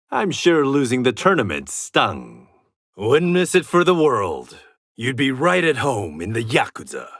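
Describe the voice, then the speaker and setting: An actor playing a proud, resolute blind swordsman in a video game, with a husky voice and a terse, defiant delivery. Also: medium pitch, a bit bright, exaggerated voice. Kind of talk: video game combat voice lines.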